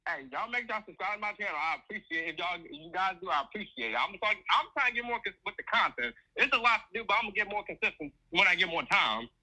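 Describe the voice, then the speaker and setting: Male caller, husky yet high, quick, casual, unsure of himself. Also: expressive, sharp tone, rough recording. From Chicago, United States. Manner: hesitant, self-effacing, repeats himself. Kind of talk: casual chat on a call-in show.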